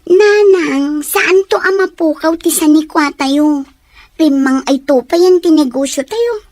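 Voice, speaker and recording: A woman's voice: high-pitched, measured pace, a little mellow, no room sound. A young woman voicing a stubborn student daughter in an audio drama, talking in an insistent, pleading way. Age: young adult. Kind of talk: Ilocano radio-style audio drama.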